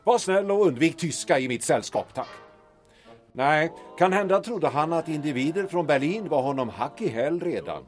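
Man playing haughty, formal gentleman, very animated delivery. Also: fairly high pitch, faint music behind, natural voice. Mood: cool, curt. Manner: formal, condescending, theatrical. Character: haughty, formal Stockholm gentleman, grieving brother, cutting. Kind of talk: period radio drama acting.

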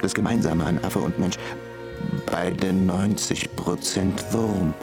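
A lonely monster, part ape and part human, in a radio play. The voice is low and crackles with fry, often slipping into breath as it muses in broken phrases. Music plays clearly behind it. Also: male voice, steady tone. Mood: brooding, musing. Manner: fragmented, halting, introspective phrases. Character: lonely brooding male ape-human hybrid creature, self-declared monster. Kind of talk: literary radio drama monologue.

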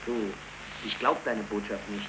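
An actor playing an idealistic, weary and defiant young unemployed blacksmith in declamatory 1930s German radio play style, on an old noisy recording. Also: male voice, fairly low pitch, steady tone, unhurried, lightly acted. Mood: sceptical. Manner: declamatory, fervent.

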